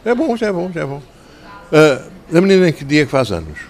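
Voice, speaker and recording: Slightly hoarse older Portuguese man. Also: fairly high pitch, sing-song, fairly quick. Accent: European Portuguese. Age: 60s or older. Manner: didactic, conversational, repeats phrases for emphasis. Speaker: children's author. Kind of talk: talking and telling stories to schoolchildren. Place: covered outdoor tent in a town square. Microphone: handheld microphone.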